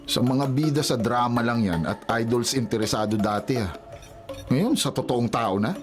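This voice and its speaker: A man's voice: mid-range voice, moderate pace, music in the background, close to an everyday voice. A man playing a bemused, protective older brother in a Filipino radio romantic comedy, questioning and incredulous. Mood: puzzled, concerned.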